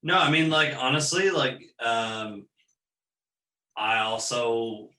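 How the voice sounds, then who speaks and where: A man's voice: medium pitch, takes it slowly, sharp tone, roomy sound. A man from Ontario, a band member on a podcast, talking casually and rambling with many restarts. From Ontario, Canada. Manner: rambling, lots of fillers and restarts. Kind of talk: casual chat.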